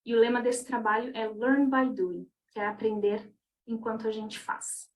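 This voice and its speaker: A woman with a slightly high voice ends her talk over a video call. She speaks politely and in a measured way as she gives her closing thanks. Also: steady tone, low-quality recording. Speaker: presenter finishing her talk. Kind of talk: formal closing remarks.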